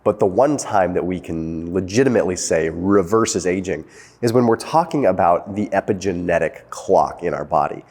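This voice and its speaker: Low-voiced man explaining fast. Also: a little mellow, long reverb tail. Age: young adult. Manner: fast, conversational, emphatic explaining with fillers like "okay". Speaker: health channel host. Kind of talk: casual explainer monologue.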